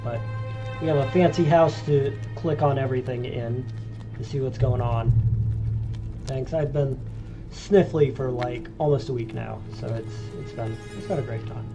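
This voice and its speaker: A man's voice: medium pitch, moderate pace, rough recording. A man with a congested, phlegmy voice, giving chatty, joking commentary as he streams a video game. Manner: chatty and joking, rambling recaps. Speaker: let's play streamer. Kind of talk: casual gameplay commentary. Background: game music.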